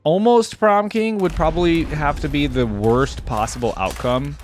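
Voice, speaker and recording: A man's voice: on the high side, moderate pace, a little hiss or hum. A man giving casual, off-the-cuff reaction commentary, like a video creator talking through what he sees.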